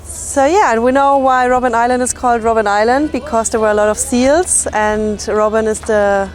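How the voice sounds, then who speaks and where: Higher-voiced woman chatting. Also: steady tone, normal speed, soft background music. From Germany. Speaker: tour participant. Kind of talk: casual chat to camera.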